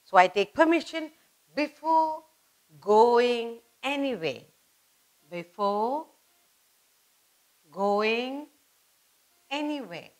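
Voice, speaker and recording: Children's teacher: middle-aged Indian-accented woman, slightly high voice, very measured pace, emphatic and repetitive. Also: animated. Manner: didactic, repetitive, emphatic on key words. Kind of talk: children's lesson at a whiteboard. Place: indoor studio in front of a whiteboard.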